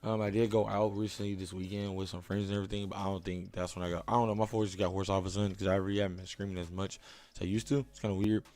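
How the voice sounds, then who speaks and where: A hoarse young man hosting a reaction video, chatting casually and thinking aloud as he goes. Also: sits low, level tone, rapid-fire, a little mellow.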